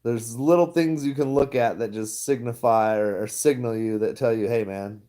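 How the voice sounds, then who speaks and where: A man's voice: medium pitch, moderate pace, warm tone. A man chatting casually to his viewers, rambling and laughing often. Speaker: stock vlogger. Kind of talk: casual livestream chat.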